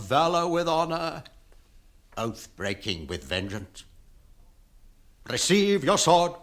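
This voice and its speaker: Proud old British lord steward. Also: male voice, low-pitched, fairly bright tone, full character voice. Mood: brooding, commanding. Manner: formal, imperious, archaic speech. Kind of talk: British fantasy radio drama acting.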